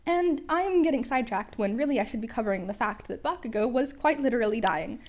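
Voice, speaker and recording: A woman's voice: a little high, expressive, fast talker, slight background noise. A woman narrating a story in a casual amateur style, with wry, playful asides and stumbles over the text. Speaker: podfic reader.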